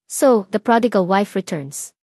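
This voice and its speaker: Proud, scornful young woman, mocking and sharp-tongued, read by a woman storyteller. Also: mid-range voice, lively intonation, measured pace, dry recording. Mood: sneering. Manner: sneering, mocking.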